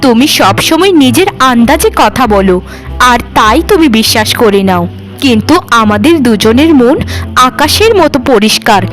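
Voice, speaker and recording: A woman's voice: a little high, expressive, normal speed, natural voice. A woman voicing a meek, long-suffering young village housewife in a cartoon, speaking in an earnest, placating way. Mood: hurt, earnest. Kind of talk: village moral-story cartoon acting. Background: background music.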